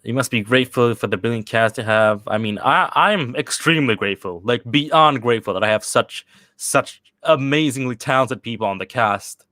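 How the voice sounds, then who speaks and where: A man's voice: mid-range voice, sharp tone, dry recording. A young man from Sweden chatting casually on a livestream, answering viewer questions with lots of fillers and restarts. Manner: rambling, halting, with many restarts and fillers. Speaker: channel host answering live chat questions.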